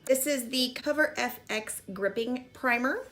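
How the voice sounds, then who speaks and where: A woman's voice: on the high side, unhurried, a bit bright. A woman in her mid-thirties chatting casually through a makeup tutorial, with self-deprecating asides, recorded by a fixed camera in a small room. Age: 30s. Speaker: makeup vlogger. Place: small room in front of a gray curtain. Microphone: fixed camera at arm's length.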